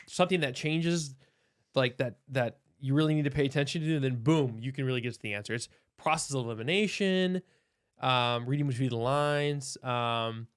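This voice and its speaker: A man in his thirties, an osteopathic doctor, talking casually in a podcast interview, thoughtful and full of restarts and hedges. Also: medium pitch.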